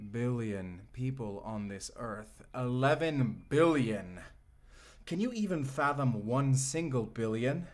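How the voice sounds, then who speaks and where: Man acting a slow-speaking, bitter, vengeful AI companion. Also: medium pitch. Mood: bitter, incredulous. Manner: deliberate, bitter, theatrical delivery. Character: vengeful, obsessive male AI companion turned menacing robot lover. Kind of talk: dark sci-fi audio roleplay, ASMR.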